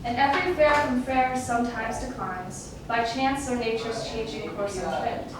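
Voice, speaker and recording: A woman's voice: fairly high pitch, normal speed, lightly acted. A woman playing a wistful, lovelorn male Elizabethan playwright in a formal, declamatory theatrical monologue in archaic English. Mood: poetic, reverent. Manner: declamatory, formal, poetic delivery. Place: stage, live performance. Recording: echoey noisy stage, recorded from far off.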